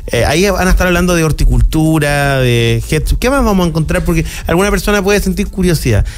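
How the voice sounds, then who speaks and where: Chatty Chilean radio host, higher voice. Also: male voice, fast talker. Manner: relaxed, chatty, asks leading questions. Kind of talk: casual radio interview. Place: small radio studio. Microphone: studio mic with headphones.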